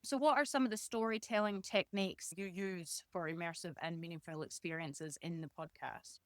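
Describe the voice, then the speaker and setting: A woman's voice: lowish voice, moderate pace, dry recording, studio quality. A woman hosting an interview podcast, introducing her guest and asking questions.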